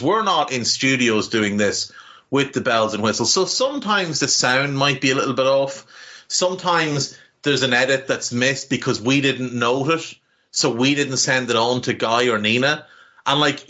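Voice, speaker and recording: An animated man chatting casually on a podcast, cursing freely and leaping up in pitch as he goes. His voice is bright and a little strained. It comes from a home setup and sounds slightly dull. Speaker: podcast co-host.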